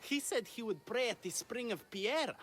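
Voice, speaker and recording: A man's voice: very high-pitched, lively intonation, moderate pace, fairly bright tone, a little hiss or hum. An actor playing a self-important Greek playwright and leading man, effusive and grandiose, in a video game. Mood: grandly confiding. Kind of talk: video game dialogue acting.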